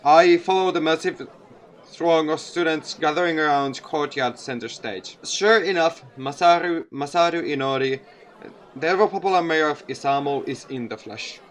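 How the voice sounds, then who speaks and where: A man's voice: on the high side, moderate pace. A man narrating a visual novel aloud as a gaming video creator.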